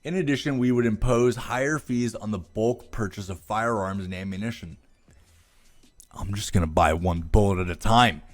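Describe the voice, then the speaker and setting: A man's voice: lowish voice, normal speed, soft background music. An American man giving opinionated commentary, reading aloud and reacting with sarcastic, profane scorn. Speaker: video commentator.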